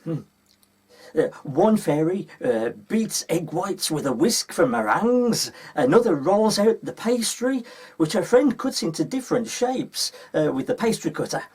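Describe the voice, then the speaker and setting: Male storyteller, husky voice, animated pitch swings, children's story reading. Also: a little slow.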